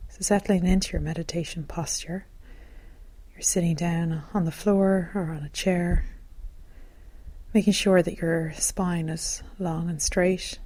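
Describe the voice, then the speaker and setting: A woman meditation teacher speaks in a low, mellow voice with a husky, breathy edge. She guides the listener gently and calmly, in soothing phrases meant for relaxation. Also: unhurried, no room sound.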